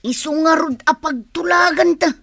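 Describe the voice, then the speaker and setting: A woman's voice: high-pitched, moderate pace, fairly bright tone, no room sound, clean studio sound. A woman playing a scheming, money-hungry younger sister in a radio drama, persuasive and insistent. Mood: sly, determined. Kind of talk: Ilocano radio drama acting.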